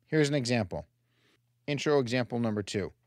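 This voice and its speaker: A man's voice: mid-range voice, expressive, brisk, darkish tone, no room sound, clean studio sound. A man presenting scripted sales training as an instructor, addressing the listener directly and emphatically with rhetorical questions. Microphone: close voice-over microphone.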